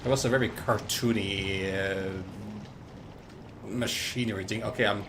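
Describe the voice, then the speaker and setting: Young man, low-ish voice, hesitant live-stream chatter. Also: steady tone, a bit bright, slight background noise. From Norway. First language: Norwegian. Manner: halting, repeats words, chatty with viewers. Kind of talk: live gameplay commentary.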